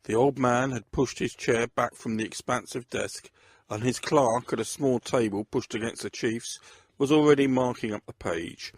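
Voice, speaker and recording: Gravelly male crime story narrator. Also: mid-range voice, moderate pace, no room sound. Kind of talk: first-person scripted story narration.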